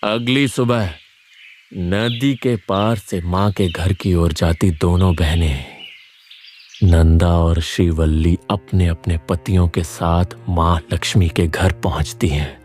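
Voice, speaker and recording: Casting: male narrator for scripted tales, very low voice, unhurried delivery. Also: fairly even intonation, darkish tone, soft background music.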